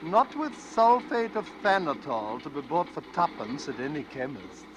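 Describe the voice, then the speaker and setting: An actor playing a smug, genteel stranger with a condescending, suave manner in an old-time radio drama. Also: male voice, fairly high pitch, sing-song, moderate pace. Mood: gleefully sinister. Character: smug, genteel, sinister male stranger boasting of murder. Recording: noisy vintage radio recording.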